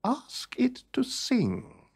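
Man voicing a gentle, high-pitched clever queen. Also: huge pitch swings, very slow, slightly rough, heavily acted. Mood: calm, suspicious.

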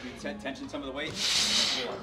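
A man coaching a workout in a large indoor gym, giving quick, instructive cues with a teasing edge. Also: medium pitch, level tone, over loud music. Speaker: trainer.

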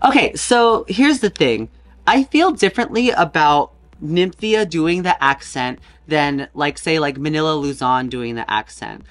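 A man who performs as a drag queen, chatting casually as a guest with quick jokes and asides, on a video call from a costume-filled room. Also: a little high, lively intonation, a bit bright. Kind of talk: casual comedic chat. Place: home room with costumes behind.

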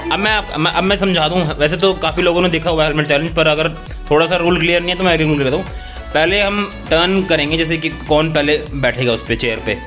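A man hosting a casual family vlog. He chats, laughs often and rushes through explanations of game rules. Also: fairly high pitch, a bit bright. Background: background music.

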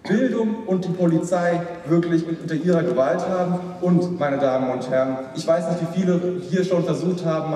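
Young guy debating at a lectern, kinda high but mellow voice, big echoey hall, mic far off. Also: a little hiss or hum. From Rhineland-Palatinate, Germany. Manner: formal, measured, repeats phrases as he builds his case. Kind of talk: competitive debate speech. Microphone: far camera.

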